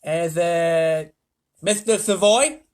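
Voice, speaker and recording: A man reading aloud and voicing an excitable, bossy male president who shouts his questions. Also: fairly high pitch, very slow. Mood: eager. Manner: shouting, eager.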